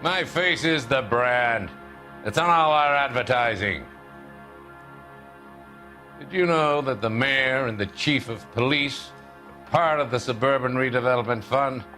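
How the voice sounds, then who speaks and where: An actor playing a slick, self-assured middle-aged real estate tycoon in a video game, smooth-talking and condescending. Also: male voice, mid-range voice, unhurried, pauses often, bright, forceful tone, soft background music. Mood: defiant. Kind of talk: dramatic video game acting.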